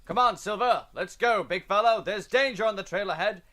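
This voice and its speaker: A man playing a bold masked cowboy hero in a western radio drama, with loud, drawn-out rallying calls. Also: high-pitched. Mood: rousing, heroic. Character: bold heroic masked cowboy ranger calling his horse. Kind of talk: old-time western radio drama acting.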